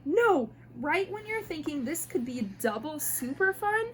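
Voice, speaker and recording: A woman reading picture books aloud to children in an expressive, playful way, talking directly to her young listeners. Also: high-pitched, measured pace, a little hiss or hum. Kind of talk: animated storybook read-aloud.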